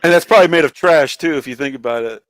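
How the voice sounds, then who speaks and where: A man's voice: on the high side, fast talker, no echo, low-quality recording. A man co-hosting a podcast in casual, slangy chat peppered with swearing. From Miami, Florida. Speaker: podcast co-host.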